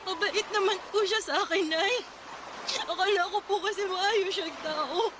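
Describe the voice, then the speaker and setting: An actress playing a pregnant young daughter in a Tagalog radio drama, pleading with respect, ashamed and apologetic. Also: female voice, very high-pitched, moderate pace. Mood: remorseful pleading. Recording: clear background noise.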